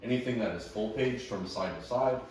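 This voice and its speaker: A young man directing a script table read, talking casually in a halting, rambling way. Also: mid-range voice. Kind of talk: casual explaining. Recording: roomy, echoey, distant mic with noise.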